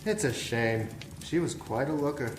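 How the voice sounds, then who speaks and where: A man's voice: medium pitch, normal speed, natural voice. A man playing a sly, treacherous young informant in a noir audio drama. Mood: gloating. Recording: echoey space, faint background noise.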